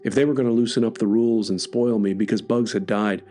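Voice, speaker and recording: A man with a low voice narrates a first-person horror story. It is a scripted podcast reading, with music under the voice. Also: steady tone, fast talker, mellow.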